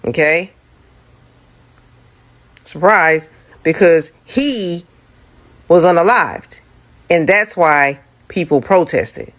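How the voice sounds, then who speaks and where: Woman lecturing slow n firm, lowish voice, keeps saying okay?. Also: lively intonation. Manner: insistent, lecturing, repeats "okay?" after each point. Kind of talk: argumentative commentary clip.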